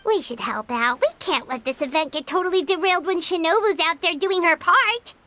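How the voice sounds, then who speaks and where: A woman voicing a small, chatty, cheeky fairy companion in a game, squeaky and childlike, speaking of herself in the third person. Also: a little fast, bright, forceful tone, soft background music. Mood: chatty, curious. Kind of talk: animated game dialogue.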